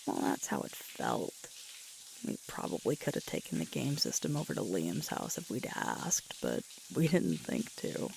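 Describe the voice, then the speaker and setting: A woman playing a sweet, tired young alpha werewolf girlfriend in an intimate ASMR audio roleplay, breathy and halting, often dropping toward a whisper. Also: extremely low pitch, wildly swooping pitch, full character voice. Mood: wry, relaxed reminiscing. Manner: halting, intimate, with sighs and murmurs. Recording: loud hissing shower water throughout. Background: running shower water.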